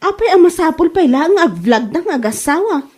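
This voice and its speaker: A woman acts out a mocking village gossip with an Ilocano accent in a comedy radio drama. Her high voice is soft-toned and swings freely up and down. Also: very animated, moderate pace.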